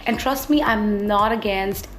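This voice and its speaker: A woman's voice: mid-range voice, unhurried, slight background noise. A native Hindi-speaking woman with an Indian accent, sharing personal experience and advice in an encouraging, conversational way as a video host. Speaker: English communication trainer and video host. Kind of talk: personal story and advice to camera. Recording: roomy echo, music underneath.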